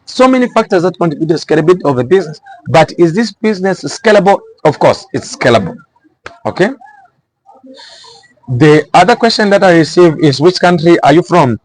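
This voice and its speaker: A man's voice: fairly high pitch, expressive, fairly quick, poor audio. A man with a Zambian accent giving small business advice in an informal explainer style, repeating phrases for emphasis.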